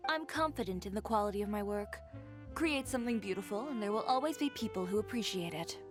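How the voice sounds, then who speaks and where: Casting: woman to play confident young female fashion designer for a video game, medium pitch, cool and dry, music behind. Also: expressive, quick pace, close to an everyday voice. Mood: self-assured.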